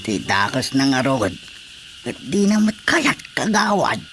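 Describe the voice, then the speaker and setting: A slow-talking stubborn village uncle. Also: male voice, medium pitch, very animated, over loud music. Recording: radio drama with faint noise.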